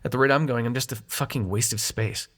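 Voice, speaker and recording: A man's voice: mid-range voice, fairly even intonation, brisk, fairly bright tone, natural voice. A man acting in an intimate audio roleplay as a young god of dreams, sarcastic and wounded but fond, talking in casual, sweary modern slang. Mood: hurt, vulnerable.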